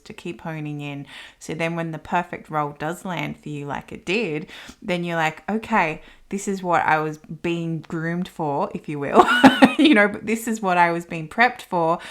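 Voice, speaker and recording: Female interview host, low register, chatty and affirming, openly effusive with her guest. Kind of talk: conversational interview.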